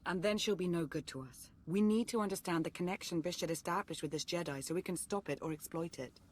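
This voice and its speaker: A woman's voice: fairly low pitch, fast, darkish tone, a little hiss or hum, close to an everyday voice. An actress playing a stern, pragmatic woman in a science fiction video game. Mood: firm, cold. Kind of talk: video game cutscene acting.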